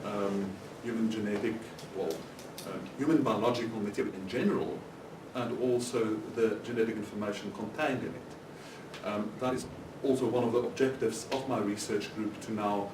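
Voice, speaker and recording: A man speaks on a conference panel in a lowish voice, hesitating with frequent ums. He is recorded from a distance in an echoey hall, with clear background noise. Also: fairly even intonation, normal speed. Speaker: legal researcher on a panel.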